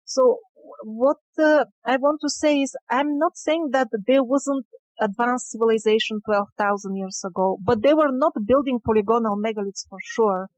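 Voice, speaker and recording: Female interview guest, independent researcher. High voice, halting and deliberate delivery, close dry sound. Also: a little mellow, no echo, low-quality recording. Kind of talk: explanatory interview talk.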